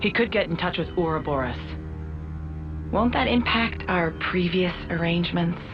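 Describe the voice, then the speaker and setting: A woman voicing a cool, questioning villain's underling in a video game, measured and deferential. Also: fairly low pitch, lightly acted. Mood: doubtful. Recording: muffled band, loud game music.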